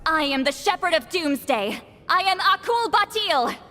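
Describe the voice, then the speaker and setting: An actress voicing a haughty, sharp-tongued granny shaman priestess in an anime fantasy game, commanding, teasing and theatrical. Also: extremely high pitch, animated, normal speed, heavily acted. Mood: solemn, commanding. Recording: long reverb, music underneath. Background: game music.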